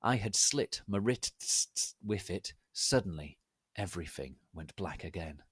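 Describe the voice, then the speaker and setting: Theatrical man reading with very lively pitch. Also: a little slow, husky, dry recording. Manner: deadpan, reading misspellings and typos literally. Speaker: reader of online fan fiction.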